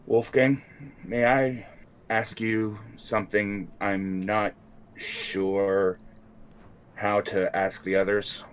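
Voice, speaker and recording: A man playing a tabletop role-playing game, speaking improvised in-character dialogue in an earnest, measured way. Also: fairly low pitch, flat delivery, slight background noise.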